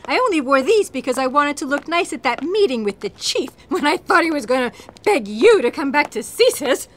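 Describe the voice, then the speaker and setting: A woman's voice: sits high, very animated, brisk, fairly bright tone. A woman acting a spy's sarcastic, exasperated girlfriend on the run in a sci-fi comedy audio drama. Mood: indignant.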